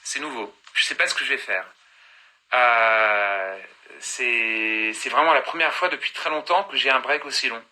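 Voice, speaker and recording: A man's voice: medium pitch, normal speed, sharp tone, poor audio. A man in his thirties chatting on a phone live stream, reading fan comments aloud and answering them, switching between languages mid-answer.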